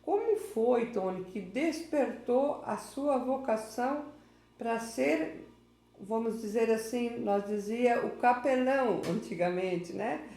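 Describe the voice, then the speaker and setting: A woman's voice: a little high, animated, slow pace, mellow, echoey room. A woman interviewing a guest informally, prompting him often and repeating his name. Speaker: interviewer, local history host.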